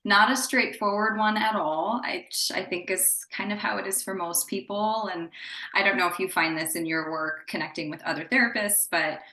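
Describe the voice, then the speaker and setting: A woman's voice: mid-range voice, moderate pace, sharp tone. Chatty woman therapist in an echoey room. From grew up in Indonesia. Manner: chatty, upbeat, with fillers and light laughs. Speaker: psychotherapist guest. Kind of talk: casual interview talk.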